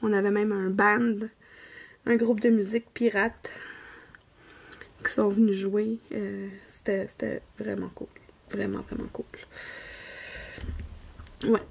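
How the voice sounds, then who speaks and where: A woman's voice: medium pitch, level tone, slow, creaky, pauses often, slightly rough. A woman in her thirties with a Québécois French accent, chatting casually to viewers while she crafts and rambling, self-correcting, and often trailing off into a near whisper. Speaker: diamond painting vlogger.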